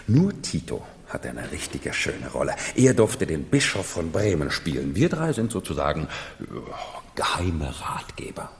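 A man's voice: a bass voice, very animated, moderate pace, creaky, exaggerated voice. A man acting a world-weary hired retainer in a 1970s German radio play, declaiming and explaining theatrically. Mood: explaining, resigned. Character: world-weary hired retainer in a madman's mock imperial court. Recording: reverberant hall, 1970s radio production.

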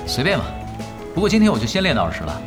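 A man playing a laid-back expert gamer who works at an internet cafe, offhand and calm, in an audio drama. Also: a little high, rapid-fire, over music. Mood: casual. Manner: nonchalant, offhand, calmly giving orders. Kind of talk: Chinese audio drama acting.

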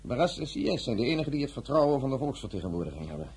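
An actor playing a smooth, scheming politician in a radio drama, formal, ingratiating and persuasive. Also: male voice, medium pitch, very animated, very fast, darkish tone, exaggerated voice. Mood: insinuating.

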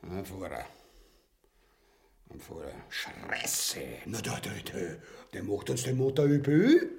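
Knarrender, großspuriger Verschwörer. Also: male voice, on the low side, sing-song, slow, creaky, darkish tone, exaggerated voice. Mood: bossy, solemn. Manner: mock-solemn, blustering, repetitive. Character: bumbling, bossy, vulgar male plotter and would-be usurper. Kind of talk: grotesque farce radio acting.

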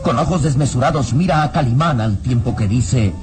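A man narrating a scripted radio serial in a grave, solemn, deliberate dramatic style. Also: mid-range voice. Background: dramatic background music.

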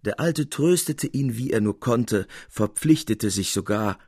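A man reading a literary tale as narrator, in an expressive audiobook style. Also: mid-range voice, measured pace, breathy edge, dry recording, studio quality.

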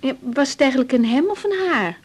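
A woman playing a young, harried doctor in a radio drama, expressive and full of questions, emotional and insistent. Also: high-pitched, a little fast. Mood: curious, chatty. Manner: expressive, questioning, stammers when upset. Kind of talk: 1980s radio drama acting.

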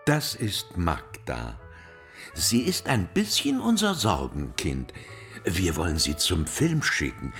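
An actor playing an indulgent, worldly antiques dealer in a radio play, effusive, drawling and theatrical. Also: male voice, on the low side, a bit bright, full character voice. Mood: languid, teasing. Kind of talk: German radio play acting.

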